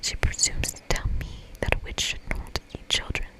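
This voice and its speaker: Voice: normal speed, close to an everyday voice. A mean, ancient witch of the woods acted out by an ASMR reader, entirely whispered. The delivery is theatrical and scolding, full of pompous outbursts, like a storybook villain in a game. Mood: indignant, scornful. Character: mean, very old forest witch who eats children.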